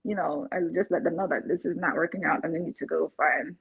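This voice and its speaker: A woman from Barbados with a husky voice, talking casually and chattily, as in a testimonial interview. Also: on the low side, steady tone, quick pace, no room sound. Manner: chatty, laughs often while talking. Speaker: interviewed client, first-time home buyer. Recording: dull, muffled lo-fi audio.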